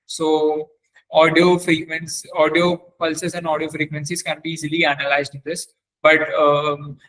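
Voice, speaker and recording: A man presenting a live software demonstration as a webinar trainer, speaking haltingly and often repeating or correcting himself. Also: a little high, little pitch movement, poor audio.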